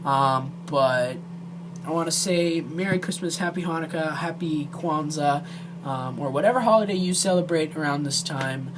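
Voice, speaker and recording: Amateur vlogger, mid-pitched voice, heartfelt and halting delivery, clear noise on a homemade recording. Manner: earnest, emotional, hesitant. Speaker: amateur video creator. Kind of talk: earnest direct-to-viewer vlog talk.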